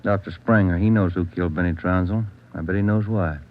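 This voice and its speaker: An American man playing a steady, plainspoken police detective, direct and businesslike, in old-time radio drama style. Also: deep voice, a little fast, faint music behind, lightly acted. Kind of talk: mid-century radio drama acting. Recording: thin, lo-fi old radio recording.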